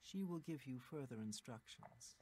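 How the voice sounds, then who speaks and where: A woman's voice: a bass voice, lively intonation, normal speed, dark tone, slight background noise. A woman voicing a stern female mage guild official in a video game, speaking in a formal, commanding way. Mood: grave. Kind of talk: video game character dialogue.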